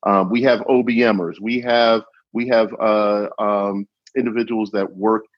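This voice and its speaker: A man speaking as a guest on a podcast panel, enthusiastic and loud, often restarting his sentences. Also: on the low side, fairly even intonation, unhurried, no echo, lo-fi sound. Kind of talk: panel discussion.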